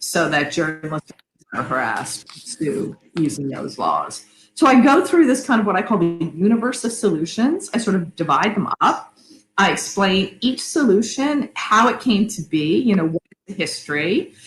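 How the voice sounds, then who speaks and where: A woman's voice: fairly low pitch, sing-song, normal speed, a bit bright. A middle-aged woman, an academic guest, talking conversationally and explaining things in lists and asides. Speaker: interview guest, academic and author. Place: indoor room on an online video call. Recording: echoey room, some background noise.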